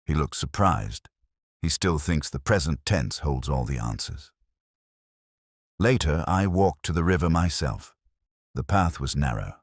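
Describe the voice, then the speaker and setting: Male narrator for literary mystery fiction; very deep, expressive pitch movement, measured and reflective delivery. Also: dry recording, clean studio sound. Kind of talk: first-person scripted detective story narration.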